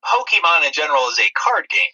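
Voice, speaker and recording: Bright, higher-pitched male podcast co-host. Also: very animated, quick pace, husky, rough recording. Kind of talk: casual movie review chat.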